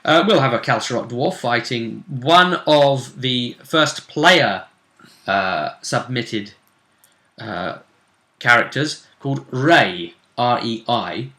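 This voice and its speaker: Bright-voiced man in an echoey room. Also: medium pitch, slow pace. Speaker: co-host of a gaming vidcast. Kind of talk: casual speculative commentary on a tabletop role-play tournament.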